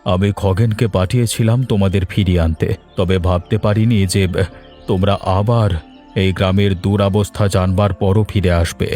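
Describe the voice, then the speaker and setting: An actor playing a remorseful, ailing older village landlord in a moral-tale cartoon, speaking haltingly and earnestly. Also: male voice, sits low, steady tone, warm tone, faint music behind, lightly acted.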